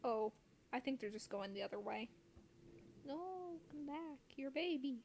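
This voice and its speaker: A woman's voice: high-pitched, unhurried, darkish tone, a little hiss or hum. A woman chatting casually and jokily as she commentates a live game stream with friends. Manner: playful, jokey.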